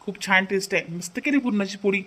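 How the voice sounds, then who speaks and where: A man's voice: high-pitched, fast, over music, close to an everyday voice. A man voicing a contented village husband in a family cartoon, with some noise in the recording. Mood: pleased.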